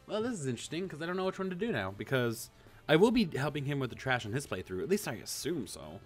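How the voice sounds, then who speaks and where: A man's voice: mid-range voice, big pitch swings, fast talker, soft background music. A man giving casual, rambling let's play commentary and reading a visual novel aloud, easily amused. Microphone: handheld microphone on a cord.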